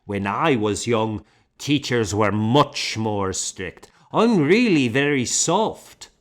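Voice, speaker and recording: A hearty monk schoolmaster performed by a male audiobook reader, sure of himself and a little mocking. He speaks bluntly and with emphasis, at an unhurried pace. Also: mid-range voice, very animated. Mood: breezy, self-satisfied. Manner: blunt, emphatic, self-assured. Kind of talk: audiobook character dialogue.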